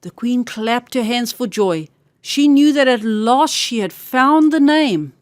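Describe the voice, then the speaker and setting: A woman storyteller reads a fairy tale for children with careful, expressive diction. Her voice is high and strongly animated, recorded dry with hardly any room around it. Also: slow, no echo.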